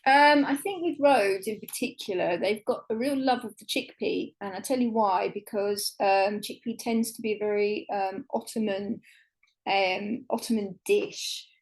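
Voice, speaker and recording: A woman's voice: medium pitch, a little slow, rough recording. A woman, a travel writer chatting enthusiastically as an interview guest, with many fillers. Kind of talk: conversational podcast interview.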